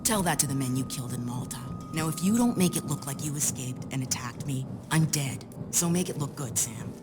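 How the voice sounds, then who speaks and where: An actress playing a steely, tense undercover agent in a spy game, urgent and insistent. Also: female voice, very deep voice, animated, a little fast, music in the background.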